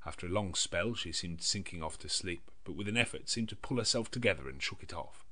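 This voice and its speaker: A man narrating a gothic novel in a measured, clearly enunciated literary manner. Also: sits low, expressive, bright, forceful tone. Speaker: audiobook narrator. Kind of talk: scripted narration of a gothic novel in diary form.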